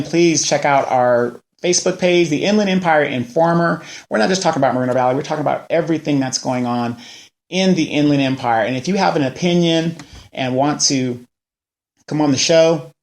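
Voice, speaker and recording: Man in his forties, local host, ranting commentary, higher voice, rhetorical questions. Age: 40s. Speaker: local news show host. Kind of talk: casual political commentary.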